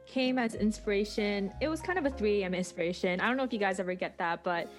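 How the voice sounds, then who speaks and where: A woman's voice: mid-range voice, fast talker, soft background music. A woman in her early twenties, a student podcaster, telling her story in a chatty, upbeat way on a panel. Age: 20s. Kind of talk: casual panel conversation.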